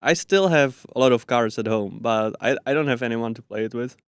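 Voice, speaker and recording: Casting: mid-pitched man, smooth and clear, friendly co-host, dry close sound. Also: dry recording. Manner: brief, friendly, quick to laugh. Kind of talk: casual chat.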